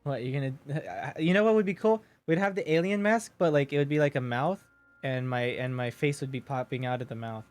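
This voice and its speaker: A man with a deep voice chatting casually with his stream viewers, joking and reading their messages aloud. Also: a little fast, faint music behind.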